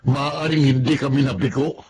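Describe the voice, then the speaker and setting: A man performs a stubborn, resentful grown son in a Filipino radio drama. His voice sits at a middle pitch, with some noise and ambience behind it. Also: fairly even intonation, normal speed, darkish tone, close to an everyday voice, low-quality recording. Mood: bitter, cold.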